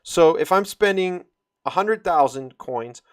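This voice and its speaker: A man's voice: a little high, expressive, a little slow. A man giving an informal, rambling walkthrough of a game, explaining details as he goes. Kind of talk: informal screen-share game tutorial.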